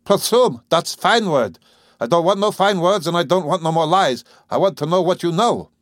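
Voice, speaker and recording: A man reading an audiobook, voicing a gruff, bullying old farmer who whines and mangles his consonants in a rough rural dialect. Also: high voice, animated, moderate pace, no echo, clean studio sound, pitched above the performer's own voice. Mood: furious.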